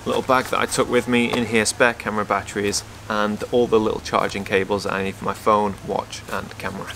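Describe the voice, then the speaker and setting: A man's voice: fairly low pitch, little pitch movement, a little fast, gravelly, a bit bright, a little hiss or hum. A man in his thirties from England, chatting casually to camera in a garden while reviewing his hiking gear, upbeat and full of asides. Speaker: outdoor photographer and vlogger. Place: outdoor garden patio in front of a brick house. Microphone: camera on a stand facing him, close.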